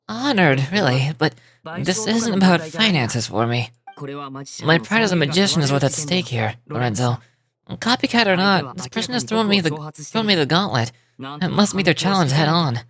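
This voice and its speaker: A man's voice: a little high, animated, normal speed, crowd noise. Man voicing a courteous, theatrical young magician. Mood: earnest, proud. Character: polite, smooth-talking, proud young male stage magician.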